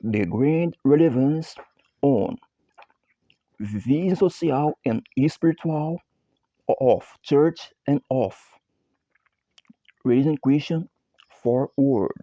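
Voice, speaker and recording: A Brazilian man with a Portuguese accent speaking halting English in a slow, repetitive, chant-like monologue. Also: medium pitch, mellow, no room sound, lo-fi sound.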